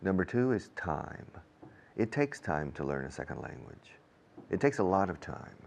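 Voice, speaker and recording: Male teacher-presenter, native English speaker, low-pitched voice, explaining to learners emphatically and deliberately. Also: big pitch swings, gravelly, slight background noise. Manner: deliberate, emphatic, repeats key words. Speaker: language teacher and programme host.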